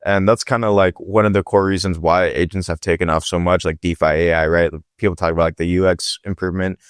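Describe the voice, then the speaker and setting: A man's voice: low voice, little pitch movement, fast, clear, resonant tone, no echo, clean studio sound. A man from Pakistan, a startup founder as podcast guest, talking casually with lots of "like" and "right?".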